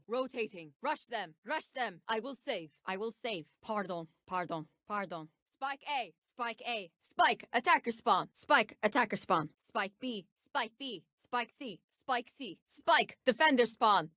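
An actress playing a menacing bounty hunter in a video game, with a Turkish accent and a cool, ominous, confident delivery. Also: female voice, fairly high pitch, fairly bright tone, no room sound, studio quality. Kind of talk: video game character voice lines.

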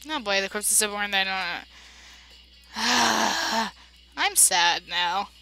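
A woman playing a game, reading its dialogue aloud and reacting with big emotional swings between loud outbursts and hushed narration. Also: medium pitch, slow, soft background music. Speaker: let's play voice-over player.

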